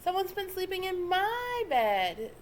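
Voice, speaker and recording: A woman's voice: very high voice, big pitch swings, measured pace, pitched above the performer's own voice, exaggerated voice. A woman voicing an anxious, startled mother bear in a children's storybook, exclaiming with worry. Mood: shocked. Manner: worried, exclaiming.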